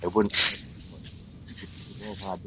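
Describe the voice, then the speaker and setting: A man with a low voice talks in a flat, even tone. He speaks emphatically and with strong opinions, repeating words as he starts his sentences. The talk is a casual panel chat. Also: extremely slow, slight background noise.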